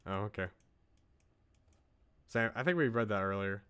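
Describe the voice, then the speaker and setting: A man's voice: fairly low pitch, lively intonation, moderate pace, a bit bright, clean tone. A man streaming a visual novel, reading it aloud with casual asides and often stumbling over lines and correcting himself.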